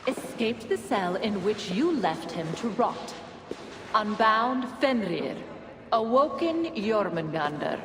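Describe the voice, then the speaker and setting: An actress playing a solemn, foreboding messenger to a god, speaking formally and poetically in a game cutscene. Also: female voice, mid-range voice, expressive, slow pace, crowd noise. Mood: grave, ominous. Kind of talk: dramatic game cutscene acting. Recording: roomy, long reverberant echo.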